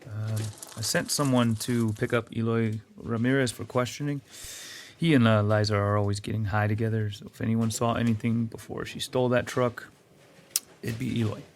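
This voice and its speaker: An actor playing a weary, awkward middle-aged police detective in an audio drama. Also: male voice, on the low side, steady tone, moderate pace, slight background noise, natural voice. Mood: terse, businesslike.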